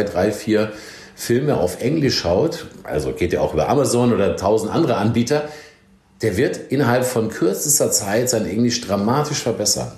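A man with a low voice chats casually and cracks teasing jokes as he gives advice. His voice echoes a little, as if the room is bare and roomy. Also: darkish tone. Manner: chatty, jokey, teasing asides. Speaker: training captain, podcast co-host. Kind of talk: casual podcast conversation. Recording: roomy echo.

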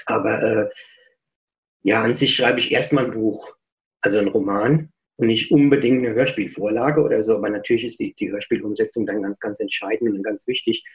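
A man's voice: fairly low pitch, steady tone, brisk, highs cut off. A middle-aged man talking as an author guest in a casual interview, chatty, reflective and self-deprecating.

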